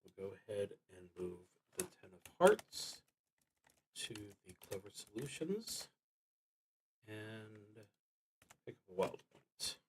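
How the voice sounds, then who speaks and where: A man streaming a solo tabletop game, thinking aloud in a halting, deliberate way and often trailing off. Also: fairly low pitch, animated, slight background noise, low-quality recording. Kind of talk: thinking aloud while playing a solo card and dice game.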